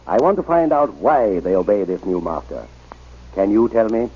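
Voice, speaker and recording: Casting: earnest, determined reverend, very raspy, animated, deliberate radio-serial delivery. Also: male voice, on the low side. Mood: coaxing, probing. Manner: deliberate, coaxing, emphatic delivery. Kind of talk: old-time radio drama acting. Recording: muffled, dull old radio recording.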